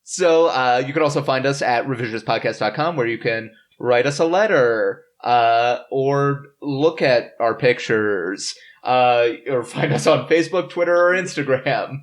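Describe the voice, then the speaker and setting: A man's voice: medium pitch, a little slow, hardly any pauses. A tipsy man hosting a casual comedic podcast in a rambling, self-deprecating way. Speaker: podcast host. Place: in-person table recording.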